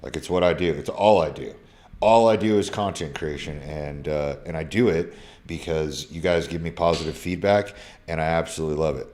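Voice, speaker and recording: A deep-voiced man in his thirties, talking loose and slangy with plenty of swearing. He reads out viewer messages on a live stream from his phone and answers them one after another, sometimes breaking into sudden loud bursts. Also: a little fast, slight background noise. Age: 30s. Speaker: social media creator. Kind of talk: casual live stream, reading DMs aloud.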